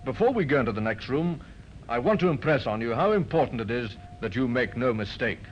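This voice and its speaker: An actor playing a firm, methodical police inspector with a measured, formal manner in a 1950s radio crime drama. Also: male voice, mid-range voice, lively intonation, close to an everyday voice. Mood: grave, instructing. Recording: muffled, noisy 1950s radio recording.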